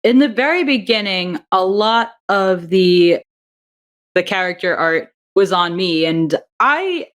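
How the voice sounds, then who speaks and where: A chatty woman with a middle-pitched voice talks through her creative process in a relaxed interview. She laughs easily and restarts her sentences often as she thinks aloud. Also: slow. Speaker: audio drama writer and director. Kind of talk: casual interview chat.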